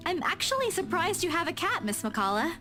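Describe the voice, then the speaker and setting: A woman's voice: very high voice, sing-song, a little fast. An actress playing a polite, awkward young woman in a visual novel, speaking hesitantly over music. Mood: friendly, tentative. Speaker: video game voice actor. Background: background guitar music.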